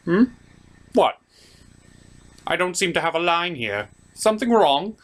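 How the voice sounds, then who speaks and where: Puppet chaos spirit, male performer: pompous, indignant, theatrical, very lively pitch. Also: a little slow. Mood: puzzled. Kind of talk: puppet show parody acting.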